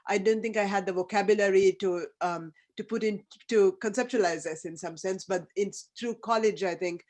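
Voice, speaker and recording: A person in their fifties from Sri Lanka speaks at a panel over a video call. The delivery is thoughtful and halting, full of ums, uhs and restarts. The voice sits at a middle pitch. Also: steady tone, darkish tone. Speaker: academic panelist. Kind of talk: reflective panel talk.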